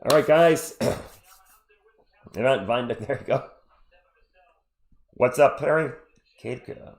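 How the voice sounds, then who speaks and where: A man who is sick with a cold, hosting a casual live play-by-play stream and chatting jokily with viewers. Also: medium pitch. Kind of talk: casual sports commentary and chat with viewers.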